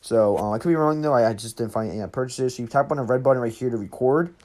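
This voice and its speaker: A man with a medium-pitched voice hosts a casual tutorial. He talks in an informal, slightly rambling way, addressing his viewers directly as he explains things step by step. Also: rapid-fire, a little mellow. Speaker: tutorial host. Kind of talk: casual screen-recorded app tutorial.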